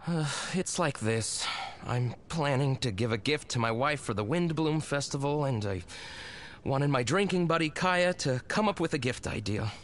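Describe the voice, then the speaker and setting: An actor playing a sheepish, tipsy tavern regular in a video game, hesitant and halting. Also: male voice, mid-range voice, animated, a little hiss or hum, lightly acted. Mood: sheepish, muddled.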